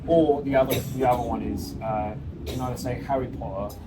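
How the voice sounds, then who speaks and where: A man who is a voice actor, a guest in a casual chat over a meal, relaxed and explaining things in a measured, teacherly way. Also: mid-range voice, recorded from a distance, a loud crowd. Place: sandwich shop. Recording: off-mic in a noisy restaurant.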